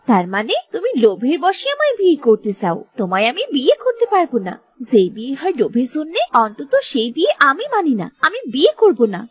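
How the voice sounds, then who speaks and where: A woman voicing a principled young fisherman's daughter in a cartoon, earnest and devoted. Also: high-pitched.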